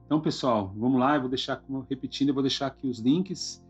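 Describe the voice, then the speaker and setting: Brazilian male host, husky, casual and encouraging, lo-fi sound with faint music. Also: medium pitch, fast. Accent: Brazilian Portuguese. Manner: encouraging, informal. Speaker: podcast host. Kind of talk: casual conversational interview.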